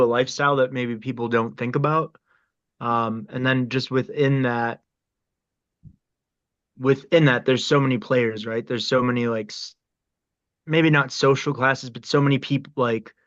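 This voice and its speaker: A man's voice: medium pitch, a bit bright, no echo, muffled recording. A man talking casually and thoughtfully in a group discussion, searching for his words. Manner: halting, thoughtful. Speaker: actor at a table read.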